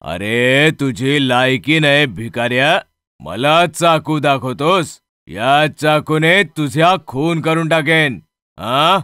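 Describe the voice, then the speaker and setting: A man's voice: a little high, expressive, slow pace, sharp tone, smooth, dry recording, clean studio sound, exaggerated voice. A man voicing a stingy, contemptuous bully of a customer, curt and demanding, in a horror story. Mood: threatening.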